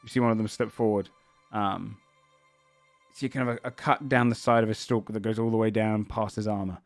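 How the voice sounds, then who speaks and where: A man's voice: on the low side, fairly even intonation, fairly quick, dry recording, soft background music. Man narrating as game master, dry sound. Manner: descriptive, searching narration.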